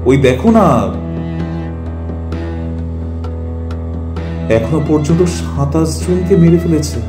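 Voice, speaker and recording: A man playing a concerned, bossy middle-aged father, speaking insistently in an animated family drama. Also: on the high side, a little fast, close to an everyday voice. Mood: grave, insistent. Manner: insistent, repeats short commands. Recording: roomy echo with music behind.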